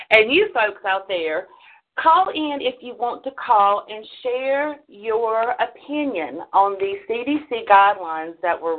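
A woman's voice: mid-range voice, phone-quality sound. A middle-aged woman co-hosting a call-in show, chatting casually to listeners in a folksy, emphatic way over band-limited call audio.

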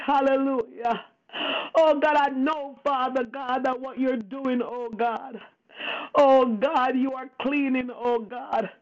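A prayer leader praying fervently and off the cuff, pleading and emphatic, with repeated invocations and a tearful edge. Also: sits high. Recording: dull lo-fi radio-like phone line.

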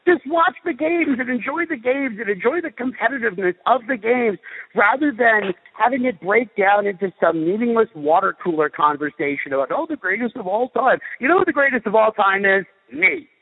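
A man's voice: high-pitched, lively intonation, brisk, breathy edge. Blunt ranting man on a phone line. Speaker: radio host guest. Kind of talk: opinionated sports talk. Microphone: phone call. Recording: tinny phone line with long reverb.

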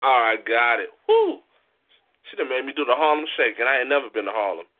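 A man hosting a casual interview in an animated, playful, slangy way, as a street poet who presents a show. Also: mid-range voice, brisk. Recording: tinny phone-line internet radio sound.

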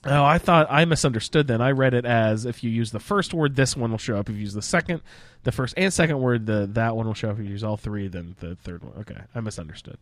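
A man hosts a casual gaming roundtable with an inquisitive manner, inviting others to weigh in. His voice sits at an average male pitch, and the sound is close and dry, with very little room in it. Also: fast, no echo. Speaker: podcast roundtable host. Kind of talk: casual roundtable discussion of a video game.

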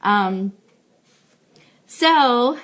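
A woman's voice: on the high side, lively intonation, very slow, fairly bright tone. A woman hosting a fan live show, chatting informally to viewers as she makes announcements and thanks them. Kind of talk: casual livestream announcements to viewers. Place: office.

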